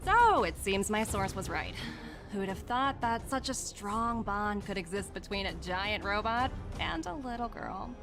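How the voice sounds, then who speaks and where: An actress playing a confident young female corporate emissary in a game, smooth-talking, poised and persuasive. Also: medium pitch, big pitch swings, measured pace. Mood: playful, smug. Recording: light music behind the voice.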